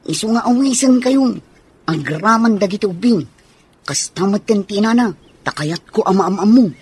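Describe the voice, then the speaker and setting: Cheerful tomboy for radio drama, played by a woman, friendly, breathy-rough mid voice. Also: mid-range voice, expressive, moderate pace, darkish tone. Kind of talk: Ilocano radio drama acting.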